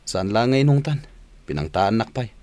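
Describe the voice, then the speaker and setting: Low-voiced meek farmer played by an actor. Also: male voice, animated, moderate pace, heavy background noise, close to an everyday voice. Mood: aggrieved. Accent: Ilocano Filipino. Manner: hesitant, deferential. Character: meek, respectful farmer nephew, intimidated husband. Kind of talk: Filipino radio drama acting.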